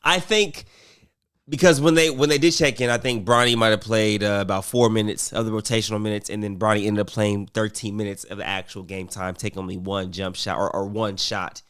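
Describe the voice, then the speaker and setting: A man's voice: on the low side, steady tone, a little fast, fairly bright tone, clean tone. A man commentating casually on basketball, rambling with fillers and restarts while getting over a cold.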